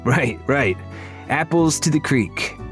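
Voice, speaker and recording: A man's voice: mid-range voice, animated, slow, pitched above the performer's own voice. A man voicing a teasing, grumbling fifteen-year-old farm lad in an audiobook, mocking and bantering over music. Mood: grudging.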